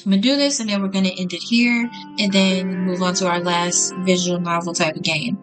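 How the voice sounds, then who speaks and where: A woman's voice: fairly low pitch, steady tone, fairly quick, music in the background. A woman hosting a gaming video, casually reading on-screen text aloud and reacting as she goes.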